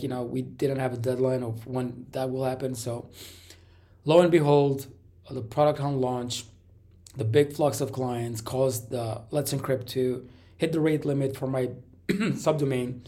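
A man with a raspy voice from a cold, coughing now and then, giving a rambling casual update to his viewers. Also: medium pitch, steady tone, measured pace, dark tone. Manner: rambling, many fillers and restarts. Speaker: startup founder.

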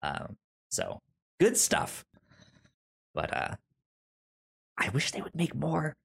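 A man's voice: on the high side, huge pitch swings, slow pace, vocal fry, a bit bright, breathy edge. A man co-hosting a casual film podcast over a remote call, stammering and restarting his sentences often. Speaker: podcast co-host.

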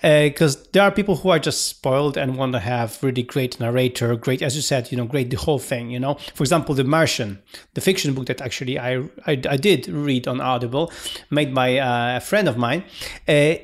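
A man with a Polish accent, English not his first language, co-hosting a casual podcast chat and often searching for words. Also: medium pitch. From Poland. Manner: halting, searching for words, many restarts. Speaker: podcast co-host.

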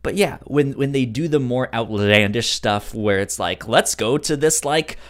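Halting, stuttering man in casual podcast talk. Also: mid-range voice, expressive. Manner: halting, stuttering repetitions of syllables. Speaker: podcast host. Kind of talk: casual chat about a cartoon series.

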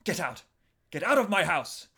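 An actor playing a secretive, nervous, irritable husband in a radio play, speaking in a terse, evasive, halting way. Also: male voice, sits high, sing-song, gravelly, bright, forceful tone, slightly rough, exaggerated voice. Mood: angry.

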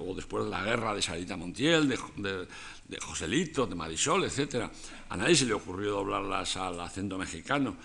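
An older man from Spain gives a rambling, conversational lecture. His voice sits low and carries a gravelly fry. He circles back on his points often, restarting phrases and tossing in rhetorical questions. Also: bright, forceful tone. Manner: digressive, frequent restarts and rhetorical tags.

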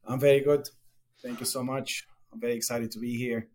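A middle-aged man from Lebanon with a Lebanese accent, answering as a guest on a podcast interview, speaking haltingly with many fillers and restarts. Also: medium pitch, level tone, darkish tone. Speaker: pharmaceutical company executive, guest.

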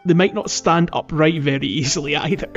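A man with a fairly high voice chats casually as a podcast co-host. The recording is a little dull and lo-fi, with faint music underneath. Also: brisk. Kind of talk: casual chat.

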